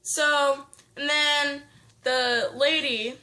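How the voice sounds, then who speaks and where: A woman with a high, bright voice tells a story at an unhurried pace. She rambles, restarts often and gets animated as it builds. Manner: rambling with many restarts and fillers; animated, breaks into sound effects. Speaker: vlogger telling a story. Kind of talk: casual storytelling chat.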